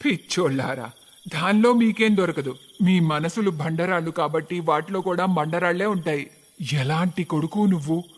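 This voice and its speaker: A solemn, magical child messenger of God from a cartoon tale, acted by a man. The voice is high for a male and rings in long, hall-like reverb, with dramatic storybook delivery. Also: normal speed, lightly acted. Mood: stern, scolding.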